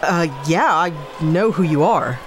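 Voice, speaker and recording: A woman's voice: on the low side, unhurried, lightly acted. An actress playing a guarded female pilot and former officer who talks hesitantly and deflects praise, with music underneath. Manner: hesitant, self-deprecating, awkward laughs. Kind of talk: audio drama acting.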